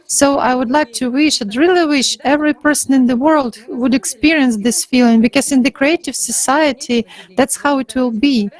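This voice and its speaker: A woman speaking informally on a panel, earnest and somewhat halting. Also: high-pitched, low-quality recording.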